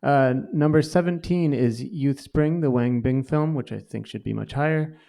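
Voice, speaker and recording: Mid-pitched man casually co-hosting a panel. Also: normal speed, gravelly, dark tone. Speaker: co-host and magazine editor. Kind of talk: live panel presentation, casual. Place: live event on stage before an audience.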